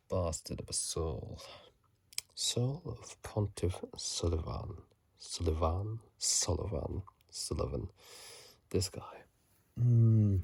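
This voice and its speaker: A man giving casual, halting ASMR commentary in a hushed, breathy whisper, sleepy and close to a phone microphone. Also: deep voice, no echo. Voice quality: breathy, gravelly soft whisper. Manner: slow, halting, hushed delivery. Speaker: video host.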